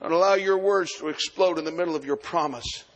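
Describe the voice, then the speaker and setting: Husky high-voiced preacher in his sixties. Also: fairly quick. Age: 60s. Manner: rhythmic repeated phrases, swells into chanted shouting. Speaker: pastor. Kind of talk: live sermon. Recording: long hall reverb, faint music behind.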